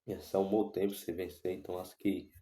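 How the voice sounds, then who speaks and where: Man, hoarse, sore throat, low voice, flat, rambling, echoey room. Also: brisk. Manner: rambling, repeats himself with many restarts and fillers. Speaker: football fan channel host. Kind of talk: casual vlog commentary on football.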